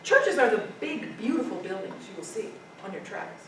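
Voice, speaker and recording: A woman's voice: medium pitch, sing-song, a little fast, slight background noise, close to an everyday voice. Woman doing a fussy protective mother. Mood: explaining. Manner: hesitant explaining. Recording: echoey room, far from mic.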